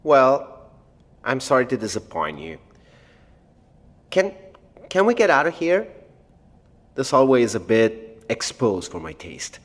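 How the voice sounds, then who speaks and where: An actor playing a nervous, wary scientist in an audio drama, speaking in hesitant, precise, educated phrases. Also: male voice, medium pitch, very animated, a little slow, pauses often. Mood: hesitant, guarded. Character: nervous blind male scientist, formal and wary, stranded alone. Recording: long echoey reverb of an empty hall.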